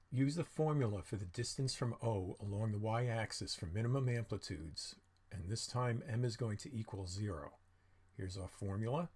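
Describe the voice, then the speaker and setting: A man's voice: fairly low pitch, breathy edge. A man narrating a lesson as a teacher, explaining step by step with informal asides and questions he answers himself. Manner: explanatory, poses questions then answers them. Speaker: physics teacher.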